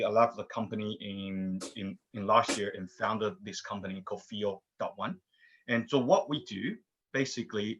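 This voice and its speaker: A man's voice: lowish voice, pushed and bright, slightly rough. A man from Taiwan introducing himself on an online panel, often restarting and repeating words. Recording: dull lo-fi video call audio.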